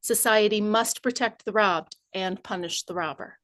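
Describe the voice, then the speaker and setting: A woman from the community reading a written text aloud in a measured, deliberate, formal recitation. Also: medium pitch, a bit bright, no echo.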